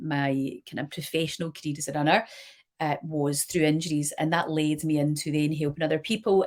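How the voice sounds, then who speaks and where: A woman in her forties speaks straight to camera in a personal video diary. Her voice sits low for a woman. She talks in a chatty, loose way, full of fillers and restarts. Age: 40s. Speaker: podiatrist and clinic director.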